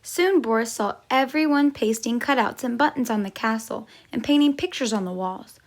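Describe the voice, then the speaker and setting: Lady teacher reading a kids picture book out loud, voice a bit on the high side. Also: moderate pace. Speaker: storyteller, teacher. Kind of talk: children's story read aloud.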